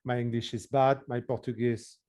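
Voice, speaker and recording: A man with a French accent, a non-native English speaker, halting and searching for words while presenting in a webinar. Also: medium pitch, little pitch movement, a little mellow. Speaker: regional innovation hub representative.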